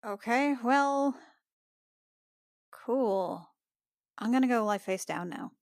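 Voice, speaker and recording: A woman's voice: a little high, animated, slow, dry recording, studio quality. A woman playing a tabletop roleplaying game, chatting casually and narrating what her character does.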